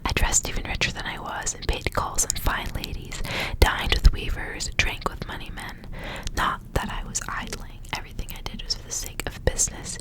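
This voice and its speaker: A woman speaking in a soft, breathy whisper, hushed like an ASMR reader sharing a story. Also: normal speed, low-quality recording. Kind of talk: whispered ASMR story reading with casual asides.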